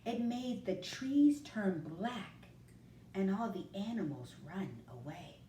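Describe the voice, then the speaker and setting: A woman reading a picture book aloud, slow and expressive, sometimes dropping to a hush, in a roomy recording from a distance. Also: mid-range voice, a little hiss or hum. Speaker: storyteller.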